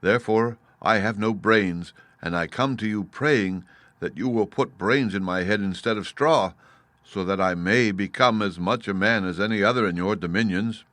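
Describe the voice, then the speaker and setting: A scarecrow of straw speaks humbly and earnestly in a storybook. A man voices him, a lowish, bright voice on a dry close recording. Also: normal speed, no room sound, close to an everyday voice.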